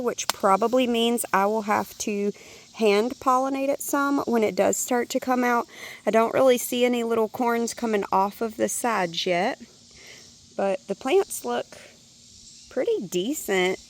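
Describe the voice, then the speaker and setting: A woman vlogging a casual walk-through of her home garden, speaking in a chatty, relaxed way with a hoarse, congested voice. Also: fairly high pitch, moderate pace. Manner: chatty, relaxed, thinking aloud.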